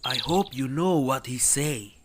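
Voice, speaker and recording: A man's voice: mid-range voice, a little slow, noisy background, lightly acted. A young man with an Indonesian accent playing a patient, cautionary village guide in an amateur radio drama, speaking stiff scripted English. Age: young adult. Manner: stiff scripted delivery in non-native English.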